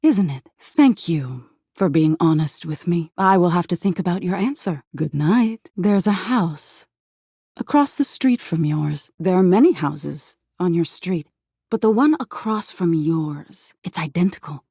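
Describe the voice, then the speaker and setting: A woman playing an eerie, softly menacing embodiment of existential dread in a video game, narrating slowly in a hushed, breathy voice that slips into whispers. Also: fairly low pitch, animated, no room sound, studio quality, full character voice. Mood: coolly probing, then ominous, hushed. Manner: slow hushed storytelling, lapsing into whispers.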